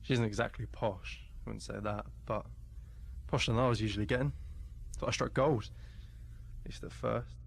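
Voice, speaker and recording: An actor playing a bitter, disillusioned working-class husband in a radio play. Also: male voice, mid-range voice, steady tone, normal speed, vocal fry. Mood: bitter, wry. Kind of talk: radio play monologue acting.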